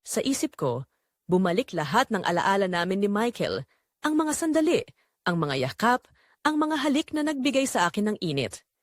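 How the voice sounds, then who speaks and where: A woman's voice: medium pitch, big pitch swings, normal speed, no room sound, clean studio sound. A woman storyteller reading a scripted first-person romance drama in a dramatic narrating style.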